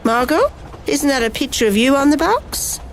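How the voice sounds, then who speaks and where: A woman playing a brisk, secretive, commanding FBI agent in an amateur radio play. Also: high voice, big pitch swings, poor audio. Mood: accusing. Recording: noticeable background noise.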